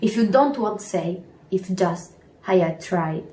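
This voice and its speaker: A woman in her early twenties with an Italian accent, giving an earnest testimonial in slightly halting English. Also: on the low side, expressive, warm tone, reverberant, a little hiss or hum. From northern Italy. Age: early 20s. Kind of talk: testimonial video.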